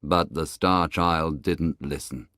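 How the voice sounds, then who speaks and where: Male narrator, low pitch, scripted storybook reading, dry studio-like sound. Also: slow pace, sharp tone, no room sound.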